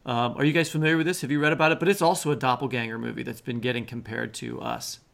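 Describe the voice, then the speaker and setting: Male co-host with vocal fry, casual and halting, thinking aloud, mid-pitched. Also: steady tone, a bit bright. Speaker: podcast co-host. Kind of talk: casual chat.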